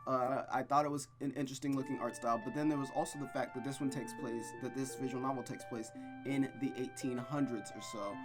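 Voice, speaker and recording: A man's voice: mid-range voice, brisk. Chatty man doing playthrough commentary over music. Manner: animated, wry reactions to what he reads. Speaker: host of a visual novel playthrough. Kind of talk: casual chatty let's play commentary, reading game text aloud. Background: game music, looping rain.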